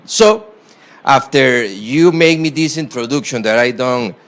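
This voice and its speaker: A man from Costa Rica with a strong Costa Rican Spanish accent gives a university guest lecture in halting English, full of fillers and restarts, with emphatic stresses on key words. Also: medium pitch, fairly bright tone. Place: lecture hall.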